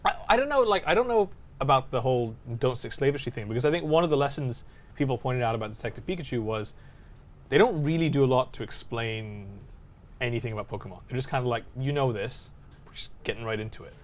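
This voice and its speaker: Fast-talking man podcast co-host. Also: medium pitch, lively intonation. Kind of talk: casual group discussion.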